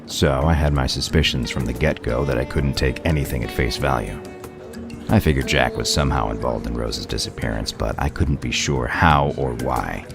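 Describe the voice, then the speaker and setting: Man playing a very deep, gravelly sardonic detective. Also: moderate pace. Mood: dry, matter-of-fact. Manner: dry, sardonic. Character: gruff, sardonic male detective laying out a case. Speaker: voice actor in an audio drama. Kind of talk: audio play acting, detective explaining a case. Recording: music bed under the voice.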